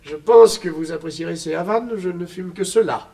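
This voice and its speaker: An actor playing a smug, genteel captor with unctuous, over-courteous manners, in a 1960s French radio serial. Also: male voice, high-pitched, normal speed, gravelly, dark tone, recorded from a distance. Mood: obliging, amused. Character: suavely polite male villain, smug genteel captor.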